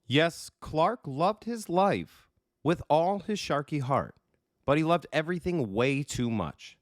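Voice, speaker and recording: A man narrating a children's picture book in an expressive, emphatic storytelling style. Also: fairly high pitch, slow. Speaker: storybook reader, coach.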